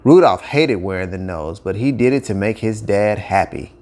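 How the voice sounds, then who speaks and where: A man narrating a scripted children's story with expressive, emphatic phrasing. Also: on the low side, normal speed.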